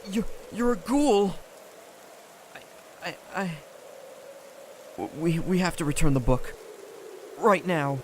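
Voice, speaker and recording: An anxious young boy hero, voiced by an actor in a fantasy audio drama. He speaks slowly and hesitantly, tripping over his words, with faint noise and ambience around the voice. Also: medium pitch, animated, darkish tone. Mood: shaken. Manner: hesitant, stammering.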